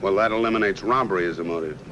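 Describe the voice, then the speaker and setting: A man's voice: deep voice, very fast, lo-fi sound, lightly acted. An American man playing a shrewd, commanding, slangy private detective in a 1950s film noir, on a noisy old soundtrack. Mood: wry, probing. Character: portly, shrewd, unflappable private detective boss. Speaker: film actor.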